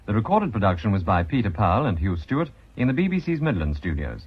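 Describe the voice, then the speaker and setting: A man's voice: on the low side, sing-song, fast, slightly rough. A British man reading a formal scripted announcement as a radio continuity announcer. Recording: muffled old radio recording.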